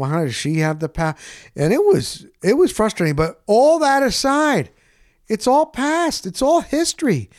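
A middle-aged man with a slightly high voice talks off the cuff like a sports podcast host. He rambles informally from one story to the next, cutting into his own sentences. The sound is close and dry. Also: no echo. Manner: informal, animated storyteller with self-interruptions. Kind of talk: casual rambling monologue.

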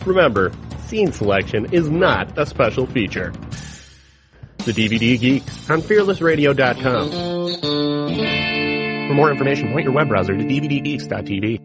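A man's voice: medium pitch, lively intonation, normal speed, pauses often. A man reading a scripted radio promo as an announcer. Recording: loud guitar music under voice. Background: guitar music.

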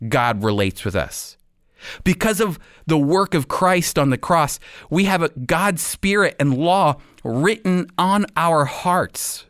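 A man's voice: a little high, no room sound, clean studio sound. A man giving sermon-like Bible teaching as a radio host, in an earnest, emphatic manner.

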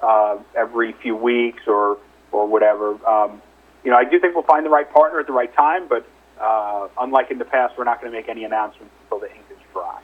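Male company executive, husky, conversational and hedging, heard through a narrow phone-line recording on an investor call. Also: medium pitch, steady tone, brisk. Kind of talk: investor call question-and-answer. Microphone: telephone conference call. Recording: narrow, muffled telephone line.

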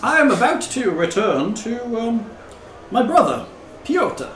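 A man's voice: extremely high pitch, sing-song, reverberant, far from the mic, noisy background, pitched above the performer's own voice, heavily acted. A man voicing a hesitant foreign male river trader in a tabletop game. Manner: halting, full of hesitations.

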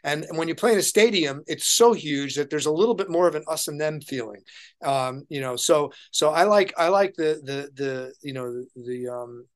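A man speaks as the guest in a relaxed interview. His voice sits a little high. He is an enthusiastic touring keyboard player who explains things through anecdotes in an informal, conversational way. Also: normal speed. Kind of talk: casual interview chat.

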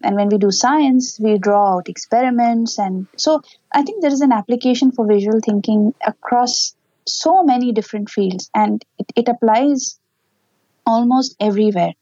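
A woman's voice: fairly high pitch, normal speed, dark tone, no echo, dull audio. A woman, a parent giving earnest, explanatory answers in an interview. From Pakistan. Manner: earnest, explanatory, with small laughs. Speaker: interview guest, parent and educator.

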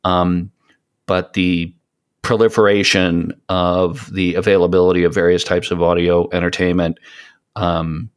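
A man talking reflectively as a podcast co-host, hesitant, often stammering on the starts of words and pausing mid-thought. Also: low-pitched, little pitch movement, clean tone. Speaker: podcast co-host, audio content creator. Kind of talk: reflective casual podcast conversation.

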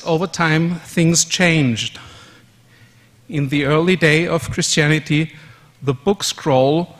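A man in his fifties from Austria whose first language is German, giving a measured conference presentation in English with fillers and self-corrections. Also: on the high side, fairly bright tone. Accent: German-speaking Austrian. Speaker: conference speaker. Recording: long hall reverb.

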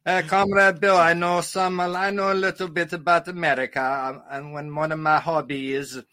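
A man's voice: a little high, little pitch movement, moderate pace, pushed and bright, dry recording, studio quality. A man playing a boisterous retired Soviet major with a Russian accent, swaggering and joking in broken English as a comic radio host. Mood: proud, genial. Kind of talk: comic in-character radio interview.

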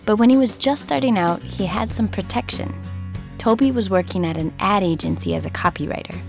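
A woman hosting a podcast, narrating scripted segments in a calm, measured way. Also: mid-range voice, music in the background. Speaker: podcast host.

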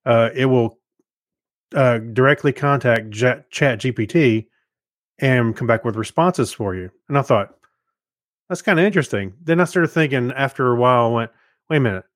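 A man's voice: medium pitch, normal speed, no room sound. A middle-aged man with a mid-range voice co-hosts a podcast in a casual chat. He rambles through his points and quotes his own thoughts. The sound is close and dry. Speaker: podcast co-host.

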